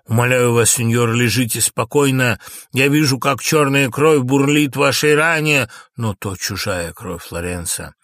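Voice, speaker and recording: A man's voice: mid-range voice, a little slow, a bit bright, no room sound, natural voice. Stage drama duke read by a male performer: proud, agitated, declamatory, pleading, in a dry close sound. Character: anguished, imperious Italian duke, proud and wildly agitated. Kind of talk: dramatic play reading.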